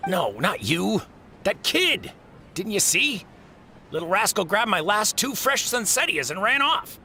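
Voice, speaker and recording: A very animated, exasperated shop boss. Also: male voice, sits high, unhurried, soft background music, full character voice. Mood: exasperated, scolding. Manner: scolding, animated, colloquial. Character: exasperated male fruit shop boss, gruff but fair. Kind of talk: English video game voice acting.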